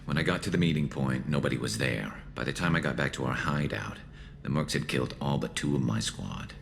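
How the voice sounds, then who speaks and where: A man playing a grim, vengeful alien mercenary in a video game, measured and brooding, with a flanged, filtered growl. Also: very deep voice, gravelly, slight background noise. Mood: bitter, determined. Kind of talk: video game dialogue acting.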